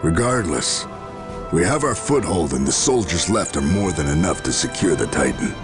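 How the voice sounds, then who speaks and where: A man playing a weary, skeptical and devout general in a game cutscene, speaking in grave, measured military phrases. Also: low-pitched, lively intonation, music in the background. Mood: grim, doubting. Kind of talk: scripted game cutscene acting.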